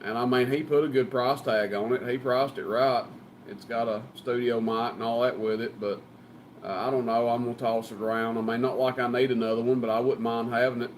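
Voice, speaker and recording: A man's voice: mid-range voice, fairly even intonation, fairly quick, a little hiss or hum. A man with a Southern US accent chatting casually as a CB radio operator, folksy and joking. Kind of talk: casual banter over CB radio.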